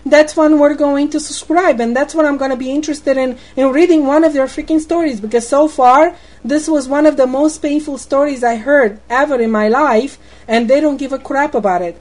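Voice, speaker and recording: Indignant high-voiced Romanian woman. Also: moderate pace, few pauses, a little mellow, low-quality recording. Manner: indignant, rambling, repeats words. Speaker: customer on a phone call. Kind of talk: heated phone complaint.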